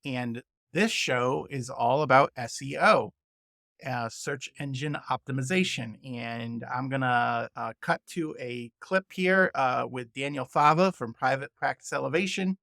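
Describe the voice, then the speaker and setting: A man's voice: medium pitch, unhurried, vocal fry, pushed and bright, no echo, clean studio sound. A man hosting a podcast in a conversational style, friendly and informal as he introduces guests and segments. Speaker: podcast host.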